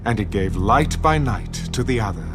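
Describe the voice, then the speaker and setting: A man narrating scripture in a solemn, measured, dramatised storytelling style. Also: fairly low pitch, lively intonation. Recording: loud music behind the voice. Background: loud dramatic background music.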